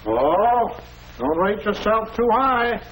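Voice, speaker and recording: High, drawn-out teasing janitor. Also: male voice, big pitch swings, heavily acted. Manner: teasing, wry. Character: teasing, gruffly joking male school janitor. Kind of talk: 1940s educational film acting. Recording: noisy old 1940s film soundtrack.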